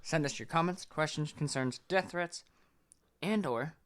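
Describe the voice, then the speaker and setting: A man's voice: a little high, unhurried. A man co-hosting a podcast in casual banter, with a crude, joking, sweary manner. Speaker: podcast co-host. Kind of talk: casual banter between friends.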